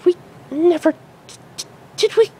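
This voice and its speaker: A confused grown-up pegasus mare, voiced by a man in a read-aloud story. She is scatty and hesitant, stammering in a raised falsetto over faint background noise. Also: normal speed, mellow.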